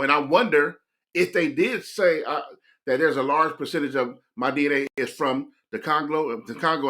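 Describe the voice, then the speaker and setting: Bright-voiced American man reacting casually. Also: on the high side. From United States. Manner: reflective, rambling, addresses viewers directly. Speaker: reaction video host.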